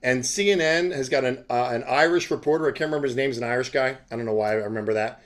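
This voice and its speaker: A man with a medium-pitched voice hosts an interview in a loose, conversational way. He rambles casually and often drifts into side remarks before returning to his point. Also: quick pace. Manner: informal, conversational, digresses into asides. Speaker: interviewer, self-styled journalist host.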